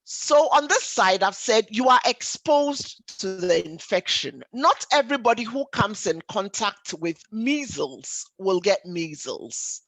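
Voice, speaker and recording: Slow, animated woman giving a health lecture. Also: mid-range voice, no room sound, low-quality recording. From Ghana or the Caribbean. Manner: didactic, emphatic, repeats key points, asks rhetorical questions. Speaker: doctor giving a health webinar. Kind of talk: explanatory lay health lecture.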